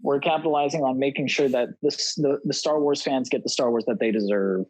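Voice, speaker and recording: Halting man in a dry, close recording. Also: mid-range voice, darkish tone, no room sound, poor audio. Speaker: podcast guest, audio drama creator. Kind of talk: casual podcast chat.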